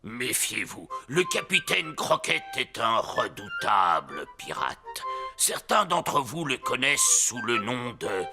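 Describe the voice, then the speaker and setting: Theatrical, swooping old grandpa pirate. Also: male voice, mid-range voice, very animated, takes it slowly, over music. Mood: playful, dramatic. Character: jolly old grandfather sea dog playing pirate. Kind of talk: French cartoon dub.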